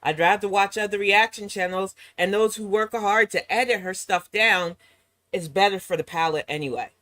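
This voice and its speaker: Sassy slightly hoarse woman streamer. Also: mid-range voice, normal speed, fairly bright tone, dry recording. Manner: sassy, mocking asides. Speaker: reaction channel host. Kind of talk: casual live-stream chat.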